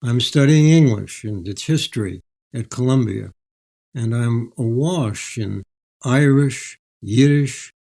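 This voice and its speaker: Mellow older man, measured storyteller. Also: mid-range voice, creaky. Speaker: author, interview guest. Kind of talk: reflective storytelling, reading and interview.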